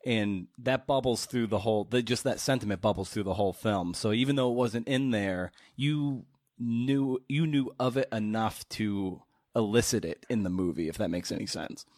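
A man's voice: on the low side, a little mellow, no room sound, studio quality. A man interviewing casually as a podcast host, thoughtfully feeling out his phrasing as he goes. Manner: thoughtful, halting, feels out phrasing.

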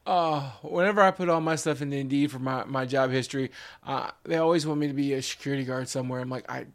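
A man's voice: mid-range voice, fast, no room sound. A man in his forties hosting a podcast in casual chat, rambling with restarts and wry asides. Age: 40s. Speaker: podcast host.

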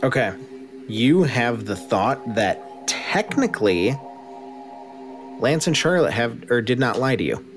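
A laid-back man running a tabletop game, narrating and calling for rolls. He talks slowly with a lively, swinging pitch. Music plays beneath him. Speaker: game master.

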